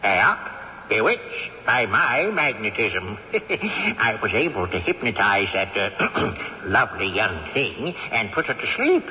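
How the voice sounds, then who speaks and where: A man's voice: fairly low pitch, sing-song, takes it slowly, bright, forceful tone, a little hiss or hum, exaggerated voice. An actor playing a cantankerous, possessive old male ghost who talks in a haughty, scolding way with sly chuckles, in a 1970s radio drama. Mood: smug. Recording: dull old radio broadcast with reverb.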